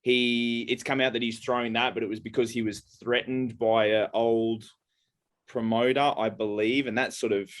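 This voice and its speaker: A man co-hosting a casual podcast, telling stories in a rambling, halting way with many fillers. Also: medium pitch, little pitch movement. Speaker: podcast co-host. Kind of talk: casual chat.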